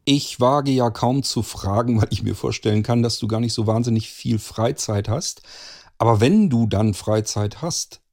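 A man's voice: medium pitch, moderate pace, vocal fry, a little mellow. A man who asks prepared interview questions in a conversational way, as the interviewer.

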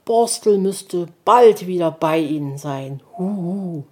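Lady doing a friendly old owl, polite and calm, storybook. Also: lowish voice, takes it slowly.

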